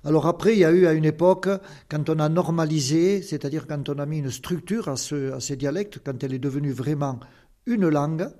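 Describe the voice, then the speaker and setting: An older man speaks with a strong southern Occitan accent as a guest in an interview. His voice sits a little high yet sounds mellow and soft-edged. He explains things earnestly and fills his pauses with hesitations. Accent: Occitan southern French. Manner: earnest, explanatory, with many fillers. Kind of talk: interview answers.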